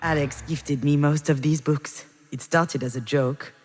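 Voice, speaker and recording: An actress playing a reflective, wounded woman confiding her memories in measured, intimate visual novel narration. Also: sits low, steady tone, darkish tone. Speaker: video game character narrator. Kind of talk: introspective scripted narration, visual novel voice acting.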